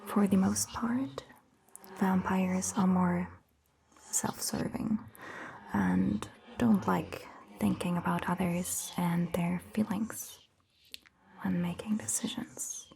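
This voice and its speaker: A woman whispers gently and with long pauses in an ASMR roleplay, playing a soothing vampire doctor close to the microphone. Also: lowish voice, fairly even intonation, gravelly, slight background noise, low-quality recording. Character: attentive, soothing female vampire doctor, centuries-old clan member.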